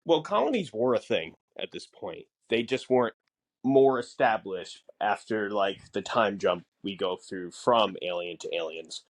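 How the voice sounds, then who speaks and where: A man co-hosting a podcast, explaining casually in a halting way, piecing his thoughts together. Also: lowish voice, lively intonation. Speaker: podcast co-host.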